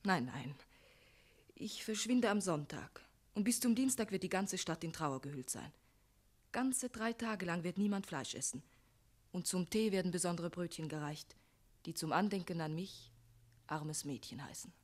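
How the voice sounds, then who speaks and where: An actress in a radio play playing a gentle young maiden, soft and sadly calm, resigned to her fate. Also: fairly low pitch, moderate pace. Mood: resigned sadness. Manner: soft, breathy, sad calm. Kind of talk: German radio play acting.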